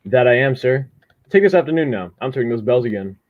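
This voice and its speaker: A man's voice: medium pitch, expressive, fast talker, phone-quality sound, lightly acted. A man playing a chatty, self-important village postman and bell ringer in a radio drama, talking in a folksy, boastful way. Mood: chatty, pleased.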